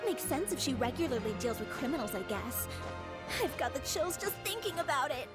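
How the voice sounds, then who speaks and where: A woman's voice: high-pitched, big pitch swings, a little fast. A woman voicing a gossiping townsperson in a video game, chatty and conversational. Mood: gossipy. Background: game music.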